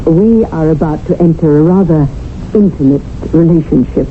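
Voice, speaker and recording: A woman's voice: low-pitched, moderate pace, a little hiss or hum, lightly acted. Coy society widow, played by a woman, old tinny radio. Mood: flirtatious, businesslike. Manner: coy, airy, affected. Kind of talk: 1970s radio drama acting.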